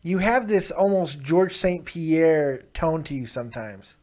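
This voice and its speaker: A man's voice: on the high side, unhurried. Brash joking call-in show host, man. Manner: loud, teasing, bursts of laughter. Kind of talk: crude joking call-in chat.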